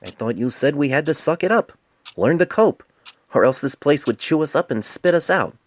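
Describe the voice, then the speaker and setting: A man's voice: on the high side, lively intonation, normal speed, no echo, clean studio sound, pitched above the performer's own voice. A man voicing a young male pony resident doctor in a storybook reading, defensive, indignant and smart-aleck.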